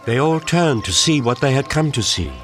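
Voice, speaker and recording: A man's voice: mid-range voice, expressive, moderate pace, a bit bright. Male storybook narrator over music. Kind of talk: expressive scripted storybook narration.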